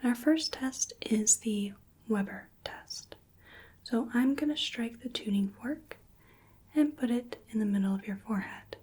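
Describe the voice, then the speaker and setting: A woman in her twenties speaking in a gentle, breathy whisper, carefully giving instructions in a soft-spoken ASMR medical roleplay. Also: on the high side, measured pace. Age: 20s. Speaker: ASMR performer playing a hearing examiner. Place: small indoor room. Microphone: close microphone, just off camera.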